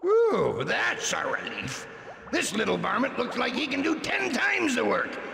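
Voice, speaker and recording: A man voicing a blustering, menacing ghost pirate captain in a cartoon game, with a gravelly voice, a stage pirate accent and theatrical threats. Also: very high-pitched, huge pitch swings, a little slow, exaggerated voice. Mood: gloating, commanding. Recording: echoey hall reverb, music underneath.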